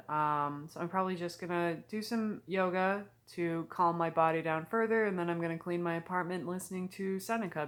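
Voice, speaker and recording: A woman in her early thirties talking casually and candidly in a confessional vlog, reflective and halting, with trailing pauses. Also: fairly low pitch. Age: early 30s. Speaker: vlogger.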